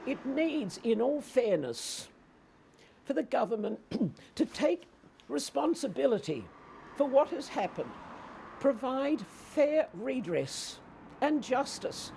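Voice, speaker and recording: A woman interviewed for a news story, speaking in a slow, emphatic and aggrieved way. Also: high voice, very animated, vocal fry, warm tone, slight background noise.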